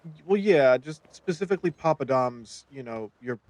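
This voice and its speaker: A man talks casually over a voice call, rambling on and swearing freely. His voice sits at a middling pitch. The sound is dry and close. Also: animated, normal speed, darkish tone, dry recording. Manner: loose, rambling, casually profane. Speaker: fellow roleplayer on a call. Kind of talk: casual chat.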